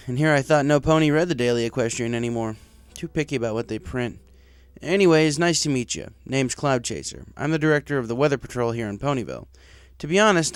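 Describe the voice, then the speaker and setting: A man's voice: mid-range voice, clean tone, dry recording, lightly acted. Man playing an easygoing pegasus boss, dry sound. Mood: affable, frank. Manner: casual, frank, matter-of-fact. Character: easygoing, businesslike adult male pegasus boss.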